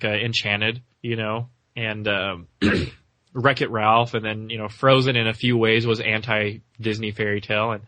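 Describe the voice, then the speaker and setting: Bright-voiced man talking film opinions, dry sound. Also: medium pitch, no room sound. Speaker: podcast co-host reviewing animated films. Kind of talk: casual film discussion.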